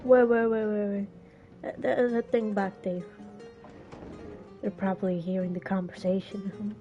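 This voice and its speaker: A woman's voice: medium pitch, flat delivery, measured pace, faint music behind. Chatty woman streamer, muffled. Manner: chatty, reactive, half-joking. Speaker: streamer playing a game. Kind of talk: casual gameplay commentary.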